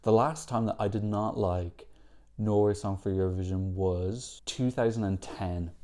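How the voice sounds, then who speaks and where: A man's voice: lowish voice, steady tone, measured pace, dark tone. A man hosting a Eurovision reaction video, giving chatty, opinionated commentary and correcting himself as he goes. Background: faint music from the songs being reacted to.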